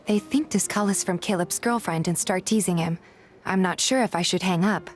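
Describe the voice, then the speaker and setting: A woman's voice: lowish voice, moderate pace, soft background music. A woman reading a scripted first-person story as narrator and voice actor in a fan dub.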